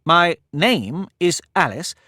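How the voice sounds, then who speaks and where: A man's voice: on the high side, sing-song, slow, sharp tone, no room sound, studio quality, pitched above the performer's own voice, heavily acted. A man voicing a polite, earnest young girl in a storybook reading.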